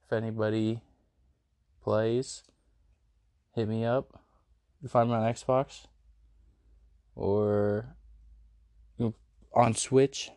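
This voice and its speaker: A man's voice: fairly low pitch, little pitch movement, extremely slow, long pauses, dark tone, no echo, studio quality. A young man hosting his podcast, a bit congested from a cold, rambling casually with lots of fillers. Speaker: podcast host. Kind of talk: casual late-night podcast chat. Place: a house with dogs.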